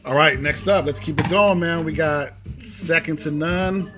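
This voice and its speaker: A man's voice: a little high, unhurried. A man hosting a casual, unscripted collector's vlog in a laid-back manner, often tagging phrases with "man.". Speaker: host showing off a cassette collection. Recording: music playing behind the voice. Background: music.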